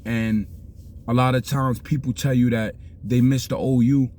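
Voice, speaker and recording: Bronx man with a low, mellow voice. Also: flat delivery, a little slow, a little hiss or hum. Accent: New York (Bronx). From South Bronx, New York. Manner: rambling, keeps asking "you understand?". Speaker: vlogger giving life advice. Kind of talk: casual street talk.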